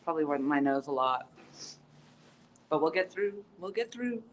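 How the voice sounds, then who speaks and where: A woman's voice: low-pitched, lively intonation, moderate pace, a little hiss or hum. A woman hosting a casual live-stream tour in an outdoor courtyard, chatty and upbeat with many ums as she greets viewers, sounding stuffy-nosed and sniffly.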